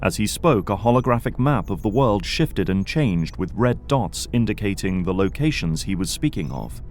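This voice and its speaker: A man narrates an audio drama in a lowish voice. His delivery is scripted storytelling, with faint music underneath. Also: big pitch swings, moderate pace, a little mellow.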